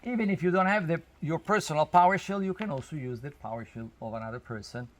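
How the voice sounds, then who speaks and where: A man who is not a native English speaker gives an informal explanatory talk to a group in a halting, emphatic way, with non-native phrasing. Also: a little high. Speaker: spiritual workshop leader. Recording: noisy outdoor recording, natural ambience.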